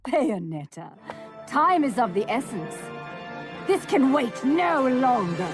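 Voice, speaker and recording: A woman's voice: high voice, big pitch swings, takes it slowly, exaggerated voice. A woman playing a stern, solemn witch in a video game, speaking in a grave, formal, declamatory way. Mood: urgent. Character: stern, solemn witch rival guarding her clan's treasures. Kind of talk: video game cutscene acting. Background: loud music.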